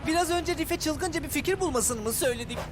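A woman voices an anxious young cartoon hero, very high and quick. The worried chatter sits over loud music. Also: close to an everyday voice. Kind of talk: dubbed cartoon acting.

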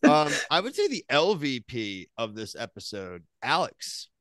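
A man's voice: mid-range voice, sing-song, a little slow, a bit bright, no echo. An American man in his thirties chatting casually as a podcast co-host, with slangy, opinionated recaps. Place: small home room, on a video call.

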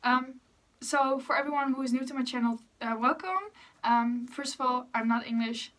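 A woman's voice: sits high, normal speed, a bit bright, distant mic. A young woman from the Netherlands with a Dutch accent, chatting casually and a bit apologetically as she reacts to a music video in her bedroom. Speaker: YouTuber. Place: bedroom with posters.

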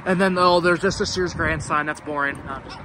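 Young guy rambling as he walks around, kinda high voice, wind and outdoor noise on the camera mic. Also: fairly even intonation, a little fast. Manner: chatty, rambling asides. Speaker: urban explorer vlogger. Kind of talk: casual walking commentary. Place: outdoors by an empty store building.

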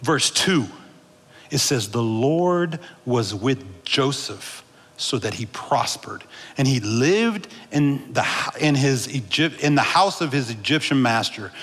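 A man's voice: medium pitch, lively intonation, slow, a bit bright, breathy edge. A man in his thirties preaching a sermon on a church stage through a headset mic, moving and gesturing as he calls out to the congregation. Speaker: pastor. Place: large church stage with blue lights. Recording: long hall reverb of a church auditorium.

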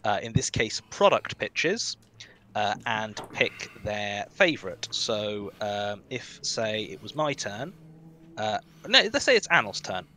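A man with a big, powerful voice walks through the rules of a card game. His tone is bright and forceful, with a faint hiss under him. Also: lowish voice, very animated, takes it slowly. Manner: loud, forceful delivery. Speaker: game explainer. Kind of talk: casual game explanation over voice chat.

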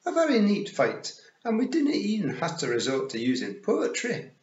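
A man voicing a bold, cheerful little pixie clan leader, loud and emphatic, with a Scottish accent, in a storybook reading. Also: high-pitched, huge pitch swings, moderate pace, roomy sound, lo-fi sound, voice pushed up. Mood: pleased, boastful. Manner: emphatic, rough-and-ready.

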